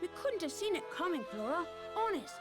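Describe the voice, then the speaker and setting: A woman's voice: extremely high pitch, very animated, brisk, loud music behind the voice. A woman voicing an earnest, polite young boy apprentice in a puzzle game. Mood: sheepish, pleading. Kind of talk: voiced game playthrough.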